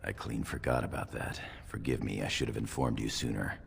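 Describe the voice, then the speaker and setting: An actor playing a loyal, deferential dwarven warrior attendant with a gravelly voice in a fantasy video game. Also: male voice, steady tone, fairly quick, exaggerated voice. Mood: apologetic, explaining. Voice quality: gravelly, very deep. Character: loyal male dwarven warrior attendant, respectful, plain-spoken.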